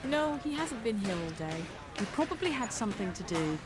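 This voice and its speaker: A woman as a bright, indignant young witch in an audio drama. Her voice is high and swings widely in pitch. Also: big pitch swings, a little fast, over music. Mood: concerned.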